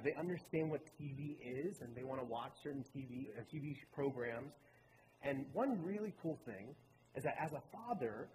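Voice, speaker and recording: A man in his late twenties from Baltimore, giving a personal talk to a church in an earnest, conversational storytelling way. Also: mid-range voice. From Baltimore, Maryland. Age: late 20s. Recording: reverberant hall with clear room noise.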